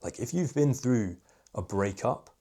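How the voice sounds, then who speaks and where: A young man giving social skills advice in a casual video, candid and reflective, with a fairly monotone voice and many "like" fillers. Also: medium pitch, unhurried.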